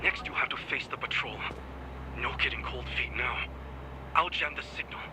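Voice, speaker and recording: A man playing a terse hushed hacker. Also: mid-range voice, moderate pace, noisy background, natural voice. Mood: urgent. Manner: curt, clipped, often hushed. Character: terse male hacker ally guiding by radio. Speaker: game character. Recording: game audio with loud music.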